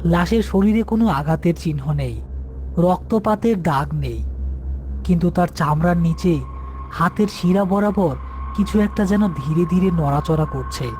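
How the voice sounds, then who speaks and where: A man's voice: high voice, normal speed, darkish tone, slight background noise. A man narrating a scripted horror story as its storyteller. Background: background music.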